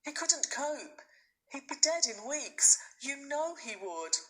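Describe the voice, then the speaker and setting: Woman as storybook mother, sad, gentle, coaxing, reasoning, higher pitch. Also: big pitch swings, slow pace, full character voice, low-quality recording. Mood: sad persuading.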